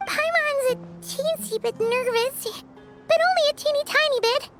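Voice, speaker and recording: A woman voicing a tiny, childlike flying companion in a fantasy game, squeaky, chatty and cheeky. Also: normal speed, fairly bright tone, faint music behind. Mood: nervous, bragging. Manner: bubbly, talks of self in third person.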